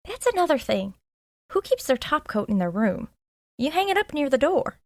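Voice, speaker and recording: Eager high-voiced woman sidekick. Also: sing-song, moderate pace, dry recording, studio quality. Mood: speculative, eager. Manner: earnest, inquisitive, informal. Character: eager, chatty young woman, sleuth's loyal sidekick. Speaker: actor in an audio drama. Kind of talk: audio drama acting, dialogue.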